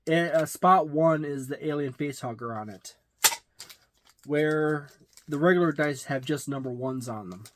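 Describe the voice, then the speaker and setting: A middle-aged man giving a casual, halting, matter-of-fact unboxing and review, recorded in a small room. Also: a little high, background noise. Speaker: tabletop game reviewer. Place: small indoor room with packed shelves.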